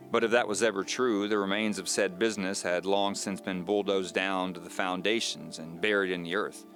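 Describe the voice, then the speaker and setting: A man playing an uneasy man recounting his own mystery in measured, confiding, scripted audio drama narration. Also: sits low, fairly bright tone. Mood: puzzled, dejected.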